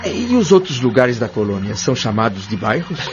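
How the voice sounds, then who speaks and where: A man's voice: medium pitch, sing-song, a little fast, close to an everyday voice. A Brazilian man playing an earnest, curious newcomer to the spirit world in an audio drama, speaking politely and with wonder. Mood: awed, moved. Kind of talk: audio drama acting with first-person narration. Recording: dull lo-fi audio, music clearly behind.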